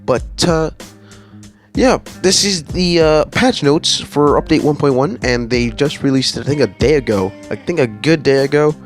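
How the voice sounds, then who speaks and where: A man's voice: on the high side, moderate pace, soft background music. A man hosting a gaming channel, casually reading through patch notes and rambling with many fillers and restarts.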